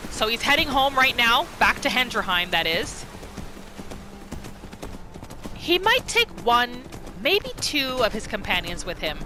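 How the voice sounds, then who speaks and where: A woman narrates a roleplay game as its host, over clearly audible music. Her pitch moves around in an animated way. She builds suspense with short phrases and pauses. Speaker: host of a gaming roleplay series. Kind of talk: storytelling gameplay narration.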